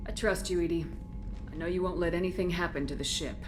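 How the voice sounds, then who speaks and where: Low-voiced, commanding female officer acted. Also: fast, natural voice. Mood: decisive. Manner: firm, direct, questioning. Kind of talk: video game character acting. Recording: game music behind the voice.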